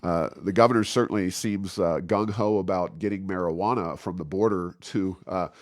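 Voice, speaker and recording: A man with a fairly low voice hosts an informal political podcast. He speaks haltingly, often stammering as he works through his commentary. The sound is dry, with very little room in it. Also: steady tone, dry recording. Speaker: podcast host.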